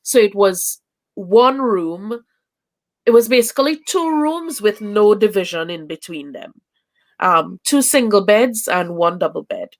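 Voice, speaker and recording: A young woman from Trinidad talks as a guest over a video call. She rambles warmly through her stories, with lots of ums and restarts. Her voice sits at a middle pitch. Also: lively intonation, a little slow. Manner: chatty, many fillers and restarts. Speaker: interview guest, traveling parent. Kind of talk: casual video chat.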